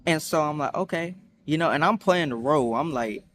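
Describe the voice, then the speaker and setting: A young man chatting casually in a storytime vlog, rambling with many fillers and restarts, acting out quotes in higher voices. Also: brisk, fairly bright tone, slight background noise. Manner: acts out quotes with high voices. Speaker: vlogger.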